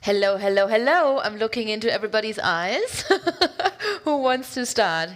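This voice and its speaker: A woman's voice: a little high, moderate pace. Woman moderating a panel discussion. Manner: lively, laughing, friendly prompting.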